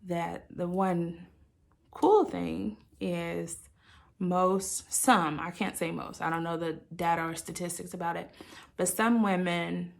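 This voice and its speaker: Reflective woman with a creaky voice. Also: lowish voice, fairly even intonation. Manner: halting, reflective, often qualifies own statements. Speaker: host of a personal channel. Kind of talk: candid personal storytelling to listeners.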